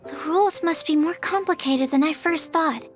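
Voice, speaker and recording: Woman doing a sweet polite young alchemist girl from a game, really high voice, thoughtful and apologetic, faint music behind. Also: moderate pace, lightly acted. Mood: dejected, fretful. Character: earnest, polite young female alchemist, quietly competitive. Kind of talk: video game character dialogue.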